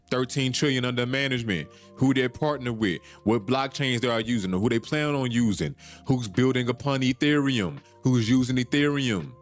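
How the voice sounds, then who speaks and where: A man hosts a solo podcast, talking in an urgent, preachy way that repeats points and swears casually. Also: mid-range voice. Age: 30s. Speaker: podcast host.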